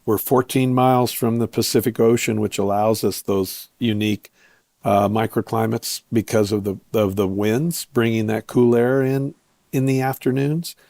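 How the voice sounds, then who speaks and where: A man being interviewed as a guest, explaining his work step by step in short, measured phrases. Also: medium pitch, fairly even intonation, vocal fry, a little mellow, dry recording, studio quality. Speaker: interview guest, winery owner and viticulturist.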